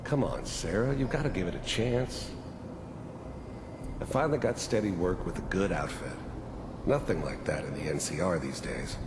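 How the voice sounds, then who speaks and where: An actor playing a world-weary, hardened mercenary in a video game, gravelly and brooding, haunted by his past. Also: reverberant, noisy background. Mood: reassuring. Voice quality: deep, gravelly. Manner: brooding, bitter, halting. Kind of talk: reflective voice-over and dramatic dialogue.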